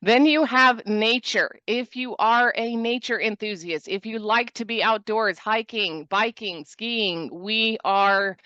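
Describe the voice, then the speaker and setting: A woman's voice: a little high, slow, hardly any pauses, pushed and bright, clear, resonant tone, dry recording, highs cut off. A woman from Norway giving an enthusiastic, informal promotional travel presentation over a video call, with joking asides.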